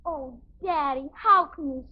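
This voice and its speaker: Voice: sits high, unhurried. A performer playing an innocent, whiny young American daughter in a 1950s radio comedy. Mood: pleading, sheepish. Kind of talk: 1950s radio sitcom acting. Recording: muffled 1950s radio recording.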